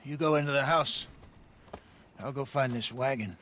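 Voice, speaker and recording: A man's voice: mid-range voice, normal speed, noisy background, natural voice. An actor playing a genial, persuasive outlaw con man in a Western video game. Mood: brisk. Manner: persuasive, wry. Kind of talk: cinematic video game acting.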